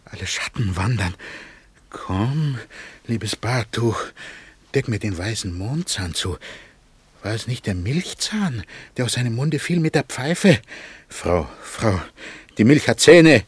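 An actor playing a guilt-ridden, hallucinating murderer who repeats himself obsessively in a poetic radio drama. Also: male voice, mid-range voice, lively intonation, takes it slowly, breathy edge, exaggerated voice. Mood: haunted, fearful. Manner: obsessive repetition, swinging from whispering to crying out. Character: guilt-ridden child murderer, tormented, hallucinating, crumbling in terror.

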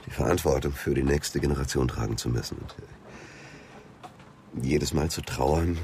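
A proud, middle-aged aristocrat in a radio drama, with an exceptionally deep voice. He is curt and defensive, breaking into halting indignation when pressed, over faint background ambience. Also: a little mellow, slight background noise. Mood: indignant. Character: proud married aristocrat suspect, defensive, tersely denying. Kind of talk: German radio drama acting.

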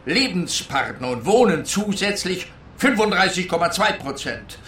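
Pompous policeman, pedantic and insistent, sharp bright tone, roomy sound, comic radio play. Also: sits high, very animated, moderate pace, a little hiss or hum. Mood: pompous, lecturing. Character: pompous, self-assured policeman spouting absurd statistical theories. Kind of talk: German-language comic detective radio play.

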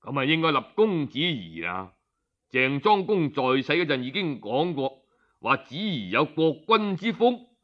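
A man with a Cantonese accent voicing a shrewd old minister in a story, knowing, deliberate and rhetorical. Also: a little high, big pitch swings, dull audio. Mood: assured.

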